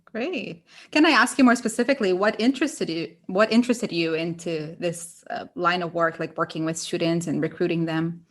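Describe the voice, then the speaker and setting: Female podcast co-host, lowish voice. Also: normal speed. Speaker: podcast co-host, ESL teacher. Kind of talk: podcast chat.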